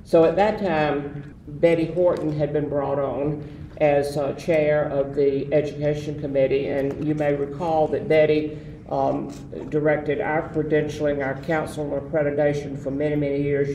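A man's voice: a little high, little pitch movement, moderate pace, warm tone, dull audio. An older man with a Southern American accent, reminiscing as an interview guest and rambling with many fillers. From Southern United States. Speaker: guest, former association president. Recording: roomy, distant mic, faint noise.

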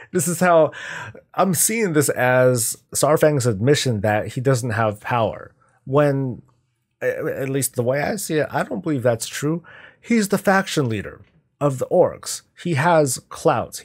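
A man's voice: medium pitch, breathy edge. Man giving emphatic commentary. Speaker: reaction video host. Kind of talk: off-the-cuff analysis commentary.

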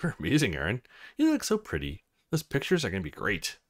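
A man's voice: on the low side, huge pitch swings, a little fast, a bit bright, voice pushed down, exaggerated voice. Man playing an easygoing, awkward young student. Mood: admiring. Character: awkward, easygoing male student, supportive of his girlfriend.

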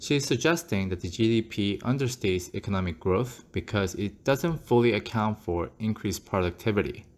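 A man reading a scripted voice-over commentary on stock market charts, steady and explanatory, sometimes asking the viewer direct questions. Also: on the low side, normal speed, few pauses. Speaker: finance channel host.

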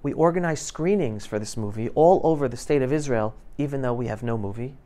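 A man's voice: medium pitch, a little fast, warm tone. A middle-aged man giving an emphatic, colloquial storytelling talk to students, acting out conversations as he goes. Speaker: guest speaker, filmmaker telling his story. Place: school classroom, indoors. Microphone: clip-on lapel mic.

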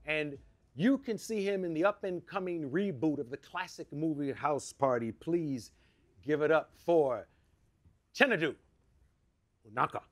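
A man's voice: a little high, measured pace, pauses often, slight background noise. A man acting as a show announcer, giving a stage introduction for a comedian. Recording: crowd and loud music behind. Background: music and a live crowd.

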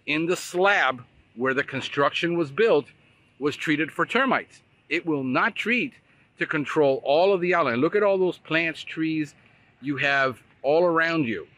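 A man in his forties, a pest control expert, walking a construction site outdoors and talking to a phone camera in an energetic, conversational way. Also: a little high. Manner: energetic, conversational, pointing things out while walking. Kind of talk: casual walking vlog explainer. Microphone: phone camera held at arm's length.

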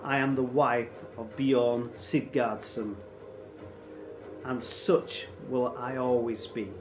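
A man's voice: medium pitch, moderate pace, music in the background, close to an everyday voice. A man voicing a fierce, protective Viking wife and mother in a storybook, speaking with defiant emphasis.

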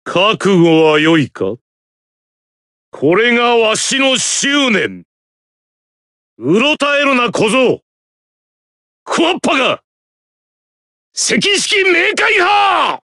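A man playing a stubborn, fierce old warrior master in an anime game, gruff and commanding. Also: sing-song, extremely slow, pauses often, pushed and bright, no echo, studio quality, heavily acted. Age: older. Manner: gruff, commanding, booming battle cries. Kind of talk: anime game battle acting.

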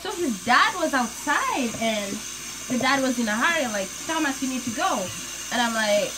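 A woman's voice: high voice, moderate pace, background noise. A young woman with a foreign accent, chatting and telling stories in a casual cooking vlog from her small home kitchen. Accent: foreign, non-native English. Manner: chatty storytelling, animated. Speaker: vlogger. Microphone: phone camera on the counter.

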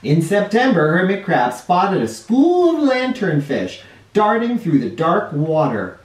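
A man in his forties reading a picture book aloud to children in an expressive, explanatory way. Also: high voice, measured pace. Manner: animated, explanatory, addressing young listeners. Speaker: children's storytime reader. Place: living room or playroom indoors. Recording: roomy home sound, distant mic.

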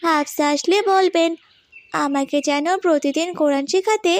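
A woman's voice: high voice, normal speed, lightly acted. A woman voicing an earnest, devout and polite young girl in a children's moral cartoon.

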